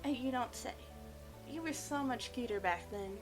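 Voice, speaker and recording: A woman's voice: a little high, moderate pace, music in the background, natural voice. Woman reading as a wry teenage schoolboy. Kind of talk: visual novel character voice.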